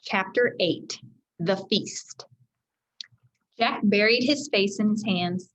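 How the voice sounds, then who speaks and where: A woman reading a children's chapter book aloud in an expressive teacherly style, speaking directly to her young listeners. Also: medium pitch, slow, low-quality recording. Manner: addresses young listeners directly, acts out dialogue.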